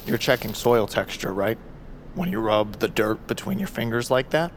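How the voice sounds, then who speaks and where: A man's voice: lowish voice, expressive, normal speed, slight background noise, close to an everyday voice. A man playing an easygoing, considerate survival expert in audio fiction, with a hesitant, self-deprecating manner. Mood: curious.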